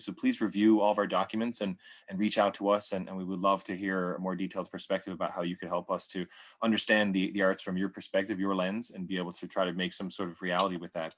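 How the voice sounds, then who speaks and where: A man's voice: low-pitched, a bit bright. A man on a committee panel responding to a community member, talking with many repeated words and restarts in an online public meeting. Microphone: video call.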